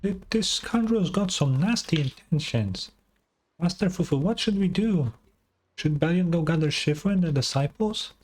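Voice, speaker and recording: A man's voice: a little high, expressive, moderate pace, a little mellow, a little hiss or hum, pitched above the performer's own voice, lightly acted, low-quality recording. A man voicing an anxious, eager sidekick while reading game dialogue aloud in a worried way.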